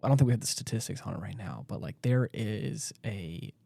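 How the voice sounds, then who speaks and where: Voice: medium pitch, lively intonation, a little fast, gravelly, warm tone, no room sound, studio quality. A co-host on a podcast, chatting casually and hedging claims with lots of "like". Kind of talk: casual chat.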